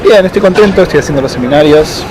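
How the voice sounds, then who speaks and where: A man, answering interview questions slowly, with frequent fillers and pauses. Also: on the high side, lively intonation, a crowd in the background. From Argentina. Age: 30s. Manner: thoughtful, hesitant, many fillers and slow pauses. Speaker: interviewee, doctoral student. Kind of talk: casual interview. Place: outdoors in a city. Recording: outdoor street noise.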